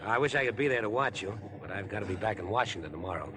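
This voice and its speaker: An actor playing an overeager, ingratiating stranger with an obsessive streak, chatty and pushy in 1950s radio drama style. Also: male voice, animated, very fast, natural voice. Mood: chatty, prying. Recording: 1950s radio broadcast, train rumble behind.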